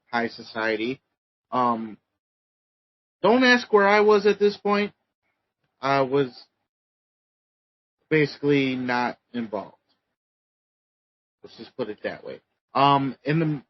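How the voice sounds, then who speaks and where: A man co-hosting a podcast, casually recapping results with many ums and pauses. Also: medium pitch, highs cut off. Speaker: podcast co-host. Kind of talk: casual chat.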